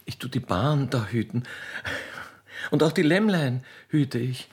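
A man voicing a naive, chatty railway keeper's daughter with an Austrian accent in a radio play, halting and repeating words eagerly. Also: mid-range voice, lively intonation, darkish tone, slightly rough. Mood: shy, eager. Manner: halting, repetitive, rustic and eager. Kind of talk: radio play character acting.

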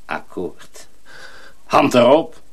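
An actor in a radio drama playing a sinister, commanding master of a mill, speaking curtly. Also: male voice, medium pitch, very animated, breathy edge, heavily acted. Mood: quiet menace. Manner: slow, curt, commanding.

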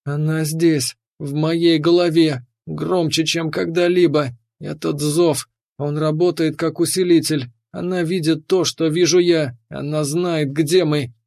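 A man's voice: a little high, steady tone, slow pace, vocal fry, hardly any pauses, darkish tone, smooth, no echo, clean studio sound. A man voicing an anguished young woman soldier in an audiobook, pleading, tearful and strained as she fights for her mind. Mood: frightened. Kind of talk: dramatic audiobook character voice.

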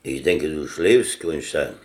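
An actor playing a gruff, stubborn, wry German ex-boxer who talks in dry colloquial remarks in a radio play. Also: male voice, a bass voice, expressive, takes it slowly, heavily acted. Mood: grumbling.

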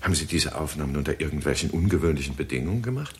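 An actor playing a brisk, courteous leading astronomer in a 1960s German radio drama. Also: male voice, rumbling low, lively intonation. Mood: absorbed, puzzled. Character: Doctor Marlow, brisk leading astronomer at observatory. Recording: 1960s radio recording with background noise.

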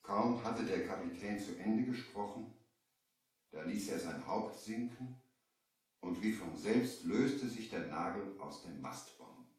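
An actor playing a merchant who narrates his sea adventure in measured storybook style, in a 1970s German audio play. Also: low-pitched, lively intonation, a little mellow. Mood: narrating. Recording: strong echo, distant from the mic.

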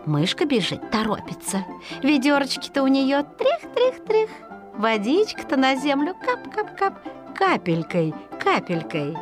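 High, very lively woman storyteller. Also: over music. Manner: singsong folksy storytelling with drawn-out repeated words. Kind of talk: expressive scripted narration of a children's folk tale.

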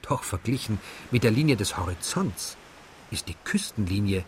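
Low-voiced man reading literary prose. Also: expressive, moderate pace, darkish tone, slight background noise. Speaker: reader of a translated literary text. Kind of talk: scripted literary narration.

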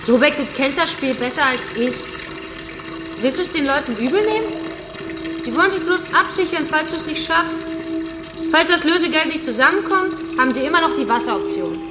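Cold young female captor, high voice, taunting, matter-of-fact, echoey room with running water, radio thriller. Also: lively intonation, brisk. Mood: cool taunting. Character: cold, vengeful young woman taunting her captive. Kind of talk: radio crime drama acting. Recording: echoey pool room, clear water noise.

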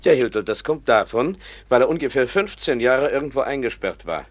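An actor playing a learned, caring 19th-century professor in a radio play, patient and explanatory. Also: male voice, medium pitch, animated, fairly quick, natural voice. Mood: reflective, explaining.

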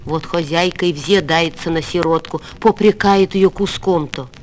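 Man acting a high-pitched, blunt gossipy villager. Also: moderate pace, a little hiss or hum, close to an everyday voice. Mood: matter-of-fact. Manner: folksy, matter-of-fact, complaining. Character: gossipy, blunt village neighbours and grumbling housewife. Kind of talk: storybook fairy tale reading.

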